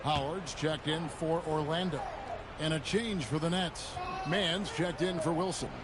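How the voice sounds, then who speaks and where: A man performing as an excited play-by-play basketball announcer in a video game, calling the action. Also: a little high, unhurried, noisy background, close to an everyday voice. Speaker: play-by-play commentator. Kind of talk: sports play-by-play commentary. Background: music, bouncing basketball, dunks.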